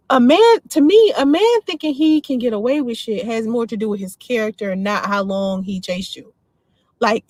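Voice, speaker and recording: A woman in her forties from the D.C. area talks straight to her livestream viewers and answers their comments without holding back. Her voice sits a little high. The sound is dry and close to the mic. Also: no echo. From Washington, D.C. area. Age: 40s. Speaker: livestream host. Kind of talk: casual livestream chat.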